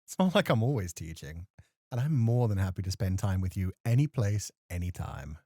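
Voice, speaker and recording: A man playing a courteous, gently teasing club host in a soft, flirty ASMR roleplay, suave and polite. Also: low voice, sing-song, moderate pace, no echo, studio quality. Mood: fond, reassuring.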